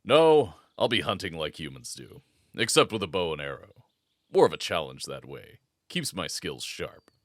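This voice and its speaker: A man playing a deep-voiced werewolf guard. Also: sing-song, moderate pace, dry recording. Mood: friendly. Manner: laid-back, joking. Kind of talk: audio roleplay. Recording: dry close recording.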